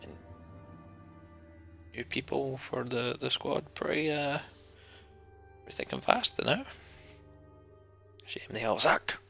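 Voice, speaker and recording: A man's voice: mid-range voice, slow pace, vocal fry, pauses often, sharp tone, faint music behind. A man hosting a voiced game playthrough, reading the story aloud with casual, sarcastic asides. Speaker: let's play host.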